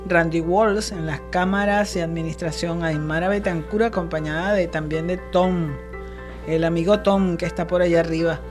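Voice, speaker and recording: Effusive woman radio host. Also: fairly low pitch. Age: older. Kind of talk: radio talk show chat.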